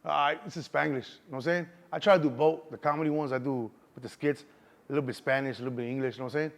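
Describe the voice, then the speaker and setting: A man's voice: mid-range voice, fairly even intonation, racing, vocal fry. A man in his thirties with a Chicago accent, a guest in a casual street interview, talking loosely and constantly saying "you know what I'm saying".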